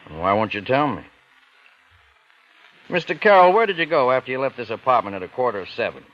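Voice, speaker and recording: An actor playing a sharp police detective in an old radio crime drama, courteous but probing and relentlessly insistent. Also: male voice, mid-range voice, big pitch swings, a little fast, natural voice. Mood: coaxing, insistent. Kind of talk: 1940s-50s radio crime drama acting. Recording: muffled noisy vintage radio recording.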